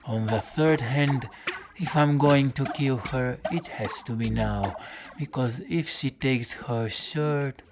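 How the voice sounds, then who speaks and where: An actor playing a battered, deadpan, hard-drinking folk-hero detective who narrates his own audio drama. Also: medium pitch, slow. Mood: deadpan musing. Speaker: lead character and narrator. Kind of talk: first-person audio drama narration and dialogue. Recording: music under the voice.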